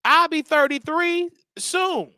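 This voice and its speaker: A man in his thirties hosting a casual livestream, ranting in loud, repetitive bursts with sudden shouts. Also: very high voice, steady tone, slow, dry recording, clean studio sound. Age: 30s. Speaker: show host.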